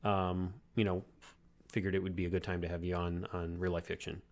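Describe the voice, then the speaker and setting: A man's voice: low voice, level tone, fairly quick, darkish tone. A man in his thirties hosting a podcast interview over a video call, loose and friendly, rambling with many fillers. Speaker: podcast host. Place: room at home. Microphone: headset with desk mic on video call.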